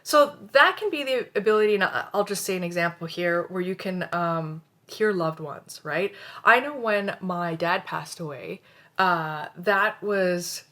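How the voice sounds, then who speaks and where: A woman's voice: lowish voice, animated, a little slow, sharp tone. A middle-aged woman from Canada whose first language is Hindi, teaching about psychic senses in a loose, rambling way, with lots of fillers, restarts and tag questions. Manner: rambling, many fillers and restarts, frequent "right?". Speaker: spiritual teacher. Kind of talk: casual spoken teaching.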